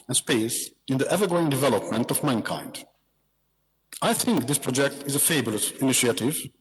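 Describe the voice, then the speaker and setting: A man from Hungary with a Hungarian accent, giving a formal, measured speech with rhetorical questions on behalf of a museum. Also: on the high side, big-room sound, rough recording. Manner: measured, rhetorical, with repeated words.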